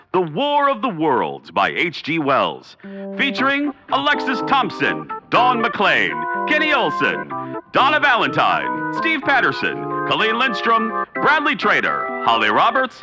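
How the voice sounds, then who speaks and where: A man narrating a radio drama as host, in a grand, deliberate, theatrical delivery. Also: sits high. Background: music behind the voice.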